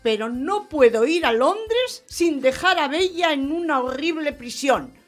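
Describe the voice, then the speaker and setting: A woman's voice: high voice, lively intonation, unhurried, faint music behind. An actress playing an imperious, gushing lady who dotes on her pampered dog, in an affected, theatrical radio drama manner. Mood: indignant, fretful.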